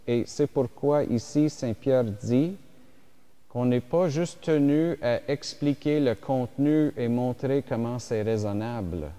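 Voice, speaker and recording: A man whose first language is English, giving a slow, reflective French homily as a bishop at mass, with pauses and fillers. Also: medium pitch, mellow, clean tone. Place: church. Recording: reverberant church hall.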